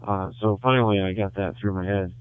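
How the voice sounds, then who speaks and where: Guy from michigan on a ham radio, low flat voice, lots of ums and uhs, tinny radio sound. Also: clean tone. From Michigan, USA. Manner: halting, many fillers and restarts. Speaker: caller on a ham radio net. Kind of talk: casual radio chat. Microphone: handheld radio transmitter. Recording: tinny two-way radio audio.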